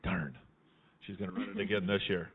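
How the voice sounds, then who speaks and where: Folksy male livestream host, lower-pitched, teasing and chatty, heard through thin phone-like audio. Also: fairly quick, breathy edge. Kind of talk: casual live chat.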